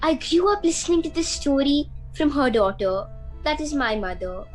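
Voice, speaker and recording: A woman plays a blunt, bold mother in a student radio play. The voice is high and swings widely, with theatrical emphasis, and faint music plays underneath. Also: animated, moderate pace. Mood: defiant.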